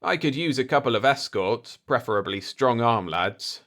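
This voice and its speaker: Guy reading as a calm shrewd diplomat in a sci-fi story, dry and sure of himself. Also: mid-range voice, normal speed. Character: unflappable, shrewd male space diplomat. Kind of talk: dialogue in a sci-fi audiobook.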